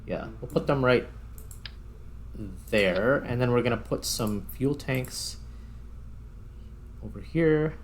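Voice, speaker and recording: A man's voice: mid-range voice, lively intonation, slow, long pauses, a little hiss or hum. A man giving casual gameplay commentary as a host, thinking aloud as he works. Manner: thinks aloud while working. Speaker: gaming video host. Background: background music.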